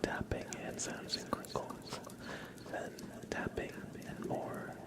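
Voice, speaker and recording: An ASMR livestream host who whispers nearly everything, soft and unhurried. The talk rambles along casually at a very slow pace, like an intimate chat with viewers.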